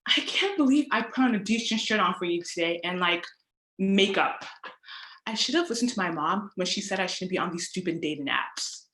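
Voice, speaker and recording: A woman acting a monologue as an exasperated young woman on an online date, speaking in a conversational, halting way. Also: lowish voice, animated, fairly bright tone, echoey room, rough recording. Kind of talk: acted dramatic monologue.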